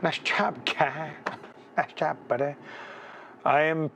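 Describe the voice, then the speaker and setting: A man's voice: a little high, fairly even intonation, slow pace, vocal fry, noisy background. A man hosting a live photo critique, chatting casually, thinking aloud with restarts and asking "right?", his voice a bit worn. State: losing his voice. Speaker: photography livestream host.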